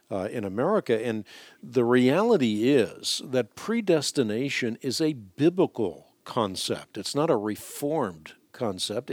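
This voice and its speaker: A man who speaks Dutch as his first language, hosting a call-in radio show and answering listeners' questions in a measured, deliberate way with frequent hesitations. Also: fairly low pitch, darkish tone, dry recording. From Netherlands.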